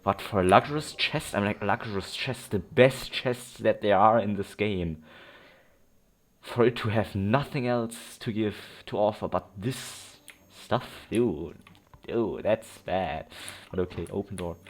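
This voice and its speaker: Low-voiced man giving casual gaming commentary. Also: a little slow. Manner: rambling, self-repeating, informal. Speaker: gaming video host. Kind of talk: casual unscripted let's play commentary. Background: game music.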